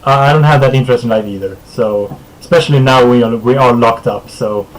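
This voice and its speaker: A man from Sweden whose first language is Swedish, hosting a casual streamed podcast, laid-back and quick to chuckle, with filler words and trailing sentences. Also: mid-range voice, low-quality recording. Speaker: podcast host.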